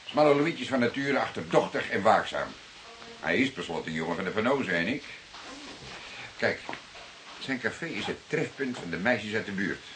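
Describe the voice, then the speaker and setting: An actor with a Netherlands Dutch accent playing a seasoned, wry, fatherly older police detective, speaking deliberately and a little ornately, as if lecturing, in a radio drama. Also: fairly low pitch, animated, a bit bright. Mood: measured, instructing. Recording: hissy old radio play recording.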